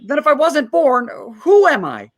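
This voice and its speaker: A man's voice: very high-pitched, huge pitch swings, normal speed, no room sound, clean studio sound, exaggerated voice. A man playing a bewildered, distressed family man, stammering and agitated, in old-time radio play style. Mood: distraught.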